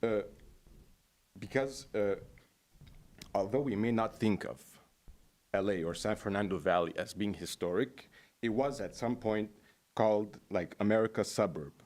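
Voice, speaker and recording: A man from Los Angeles giving public comment at a city commission meeting, halting and digressive, with formal greetings. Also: lowish voice, a little mellow. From Los Angeles, USA.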